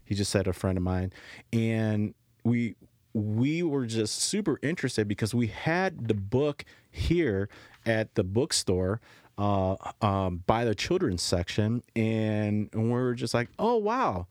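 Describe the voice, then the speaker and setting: A man hosting a podcast, chatting casually and haltingly with lots of fillers. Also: fairly low pitch, very animated, a little mellow, no room sound, clean studio sound. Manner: friendly, halting, many fillers. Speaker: podcast host. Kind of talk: casual interview chat.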